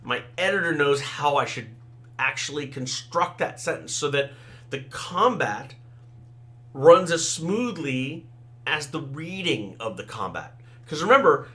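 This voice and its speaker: A man's voice: on the high side, sharp tone. A middle-aged man, Tamil his first language, chatting through a podcast monologue about the writing craft. His voice sits a little above the usual male range. He keeps it conversational, tossing in "right?" and "you know" as he goes. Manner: conversational, many fillers and "right?" asides. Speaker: podcast host, author and game designer. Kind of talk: casual solo talk on writing craft.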